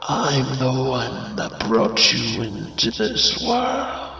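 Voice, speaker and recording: A manipulative shadow pony mother in a cartoon fan dub, her voice creaky and slow with big dramatic swoops. She coaxes with sweet menace, and the sound is echoey and set back. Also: medium pitch, sing-song, pushed and bright, heavily acted. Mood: falsely tender. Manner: slow, dramatic, coaxing delivery. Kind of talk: fan comic dub villain acting. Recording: echoey, distant, faint noise.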